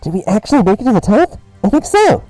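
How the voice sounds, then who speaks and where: A man's voice: high-pitched, sing-song, fast talker, warm tone, no echo, faint music behind, voice pushed up, rough recording. Man doing a raised, timid, stammering underling. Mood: uncertain. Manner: hesitant, stammering. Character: nervous, awkward disguised underling, stammering and timid.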